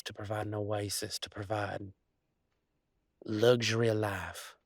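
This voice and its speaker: A man playing an easygoing, affectionate country werewolf boyfriend, talking in a folksy, halting way in an intimate roleplay audio. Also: fairly low pitch, dry recording, clean studio sound. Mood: sympathetic, indignant. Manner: folksy, drawling slang, halting asides.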